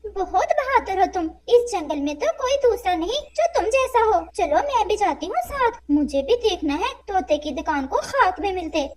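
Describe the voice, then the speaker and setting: A woman voicing a scheming, flattering wife crow in a cartoon, with a scornful, wheedling manner. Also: extremely high pitch, normal speed, few pauses. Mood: sly flattering.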